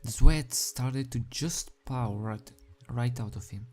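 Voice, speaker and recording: A man's voice: mid-range voice, slow pace, darkish tone. A man reading a story aloud as an amateur narrator, dramatic in delivery and sometimes stumbling over the script. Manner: stumbles over words and rereads phrases. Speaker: amateur fan fiction reader.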